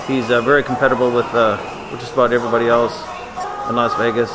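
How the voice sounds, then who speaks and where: A man's voice: medium pitch, little pitch movement, quick pace, low-quality recording. A man filming and interviewing, speaking in an easygoing, filler-heavy way from behind a camera mic. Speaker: videographer and interviewer.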